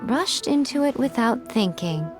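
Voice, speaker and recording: A woman's voice: on the high side, lively intonation, measured pace. A woman voicing a sly, teasing, self-assured fox-spirit familiar in a video game, with haughty wit. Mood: dry, exasperated. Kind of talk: video game character acting. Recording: music playing under the voice.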